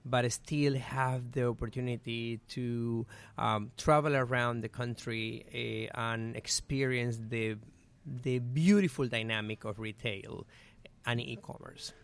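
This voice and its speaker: Venezuelan-accented man, smooth clear voice. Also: mid-range voice, fairly even intonation, takes it slowly. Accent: Venezuelan Spanish. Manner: earnest, with frequent "eh" and "um" fillers. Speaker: guest. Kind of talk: conversational interview answers.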